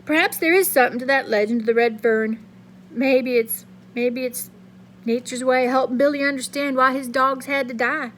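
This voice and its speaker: A woman reading a storybook aloud plays a serious, gentle country father. He speaks slowly and thoughtfully, in a plain homespun manner. The voice stays fairly high despite the role. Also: steady tone, a little hiss or hum.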